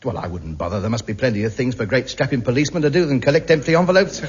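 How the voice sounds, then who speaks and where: A man's voice: medium pitch, rapid-fire, lightly acted. An actor playing a blustering, self-pitying, penny-pinching man in a 1950s radio sitcom, flustered and stammering. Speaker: lead comic character. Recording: muffled hissy 1950s radio broadcast.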